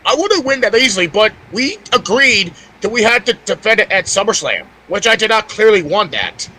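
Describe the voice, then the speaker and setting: A man's voice: sits high, very animated, brisk, bright, forceful tone, poor audio. A man co-hosting a casual, opinionated chat, insistently restarting his phrases to make a point. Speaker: podcast co-host.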